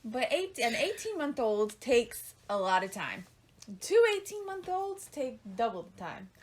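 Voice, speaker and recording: A woman co-hosting a podcast with her husband, laughing often and gently teasing and correcting him. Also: fairly high pitch, very animated, a little slow. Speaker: co-host, the host's wife. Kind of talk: casual chat with her husband.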